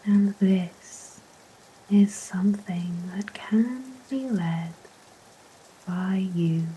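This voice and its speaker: A woman guiding a sleep hypnosis relaxation in a soft, whispery, gentle and soothing voice, with long unhurried pauses. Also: mid-range voice, slight background noise, poor audio. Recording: steady rain sounds behind voice. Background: rain ambience.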